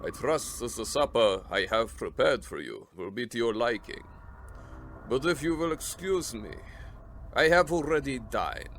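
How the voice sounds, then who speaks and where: A sinister vampire nobleman, speaks with ceremonious courtesy. His delivery is formal and deliberate, in radio drama style, over a faint bed of background noise. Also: mid-range voice, lively intonation, a bit bright. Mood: courteous, ominous.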